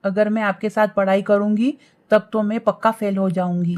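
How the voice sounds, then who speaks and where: A woman's voice: mid-range voice, fairly even intonation, normal speed, a little mellow, lightly acted. A woman voicing a spoiled, insolent young bird daughter in a cartoon story, with a whiny, dismissive manner. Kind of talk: animated moral story acting.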